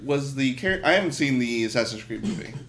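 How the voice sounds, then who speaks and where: A man's voice: medium pitch, lively intonation, a little fast, fairly bright tone, slight background noise. A man in a casual, joking group chat, telling things in a wry, irreverent way. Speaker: podcast co-host.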